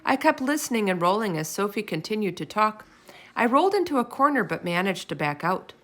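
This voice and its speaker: A woman reading a children's chapter book aloud in expressive narration. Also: mid-range voice, brisk. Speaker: storybook reader.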